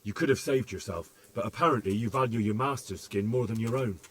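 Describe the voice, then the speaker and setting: An actor playing a young medieval interrogator in a video game, scornful, probing and goading. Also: male voice, lowish voice, fairly quick, slight background noise, natural voice. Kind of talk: dramatic video game acting.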